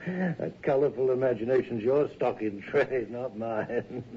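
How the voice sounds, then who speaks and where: An actor playing an older professor in a radio drama, condescending and deliberate, with a quietly menacing edge. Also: male voice, medium pitch, natural voice. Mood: amused, patronizing. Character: old professor, patronizing, quietly menacing cult believer. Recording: muffled, noisy, reverberant radio drama recording.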